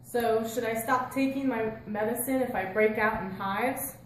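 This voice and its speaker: A woman's voice: a little high, normal speed, slight background noise, close to an everyday voice. A woman playing an inquisitive puppet patient in a scripted educational show, with a simple, questioning manner. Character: inquisitive female puppet patient with high blood pressure. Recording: roomy echo.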